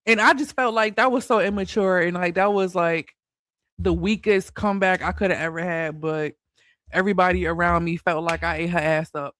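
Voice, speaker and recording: Casual male commentator, high-pitched, slight lisp, light stutter, dry mic. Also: steady tone, brisk, a bit bright, no echo. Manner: stutters a little, loose and chatty. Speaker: commentary channel host.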